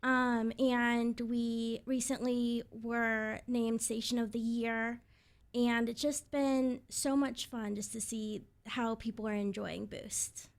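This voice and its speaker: A woman's voice: a little high, little pitch movement, slow. A woman in her twenties, a radio presenter, giving upbeat conversational answers as an interview guest. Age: 20s.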